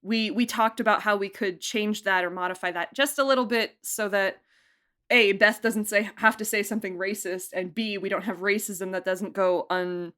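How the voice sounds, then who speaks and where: A woman's voice: medium pitch, steady tone, moderate pace, sharp tone. Chatty woman producer, laughing often. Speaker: producer and director of an audio drama. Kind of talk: casual interview answers.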